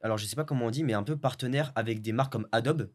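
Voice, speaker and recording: A young man from French Guiana, a video editor, chatting in a relaxed way with lots of fillers and restarts. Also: medium pitch, very fast. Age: young adult. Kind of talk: casual interview chat.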